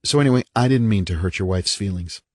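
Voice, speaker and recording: A man's voice: low voice, fast talker, a little mellow, no echo, clean studio sound. A man voicing an apologetic, bewildered husband in an audiobook, earnest and uneasy in manner.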